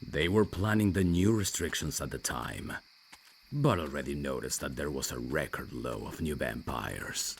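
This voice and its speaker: A man playing a reserved, rational vampire messenger in an audio roleplay, dry and guarded, with a gravelly, deep voice. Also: moderate pace, a little hiss or hum. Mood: reserved, matter-of-fact. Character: reserved, rational male vampire messenger, over fifty years undead.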